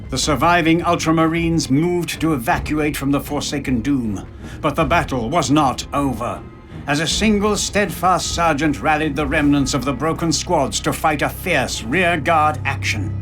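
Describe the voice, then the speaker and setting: An actor playing a grave war chronicler, narrating a video game in a formal, portentous manner. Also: male voice, on the high side, lightly acted. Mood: solemn. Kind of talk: scripted dramatic game narration.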